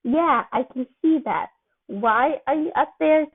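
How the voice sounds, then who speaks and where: A woman's voice: high voice, unhurried, voice pushed up. A woman voicing a frazzled, defensive man looking after a superpowered teenager, in an amateur story reading. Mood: exasperated. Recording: thin, phone-like narrow-band sound.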